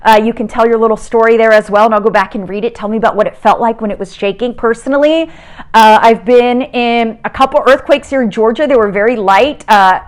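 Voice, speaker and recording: A woman's voice: fairly high pitch, brisk. A middle-aged woman from South America, a TV meteorologist giving a casual science lesson from home, chatty and upbeat with frequent filler "uh"s and restarts. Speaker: TV meteorologist teaching a kids' lesson.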